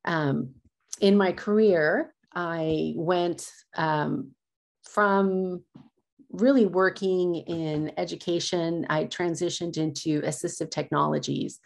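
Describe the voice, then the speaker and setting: A woman's voice: on the low side, steady tone, takes it slowly, vocal fry. A woman from California whose first language is Spanish, giving a personal acceptance speech, hesitant with many ums. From California, USA. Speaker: award recipient, assistant professor.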